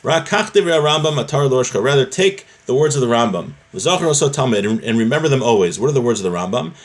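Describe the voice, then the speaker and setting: This is a man with a mid-pitched voice giving an informal religious lesson. He reads from a text and then explains it in a conversational way. He often goes back over key phrases to make the point plain. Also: sing-song, quick pace. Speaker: religious teacher, rabbi.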